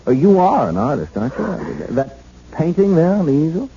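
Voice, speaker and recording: A man's voice: fairly high pitch, big pitch swings, normal speed, mellow, husky, exaggerated voice. An actor playing an awkward, kindly, unschooled American policeman in a 1970s radio drama, stammering and folksy. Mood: awkward, hesitant. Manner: stammering, hesitant, folksy, colloquial. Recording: muffled, hissy vintage radio broadcast.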